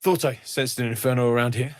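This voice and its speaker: A man voicing a kindly, earnest, world-weary blacksmith in a video game, speaking softly and sincerely. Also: mid-range voice, fairly even intonation, quick pace, lightly acted. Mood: friendly, sincere. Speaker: video game character, smith and merchant.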